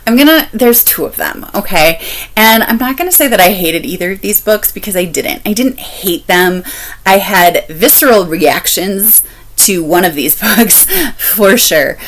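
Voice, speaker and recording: A woman chatting casually as a book-review vlogger, candid and expressive, repeating phrases for emphasis. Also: on the low side. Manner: candid, expressive, laughs while talking.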